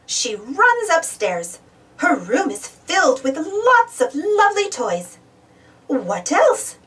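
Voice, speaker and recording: A woman's voice: very high voice, very animated, slow pace, a bit bright, recorded from a distance. A woman reading a children's storybook aloud as narrator. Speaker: storybook reader.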